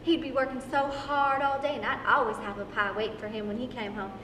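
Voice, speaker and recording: A woman acts out an opinionated, traditional older housewife. She lectures in a folksy, prim way at a high pitch. The stage reading is echoey and distant, with faint noise. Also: fairly even intonation, brisk, natural voice. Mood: proud. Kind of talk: amateur stage play reading.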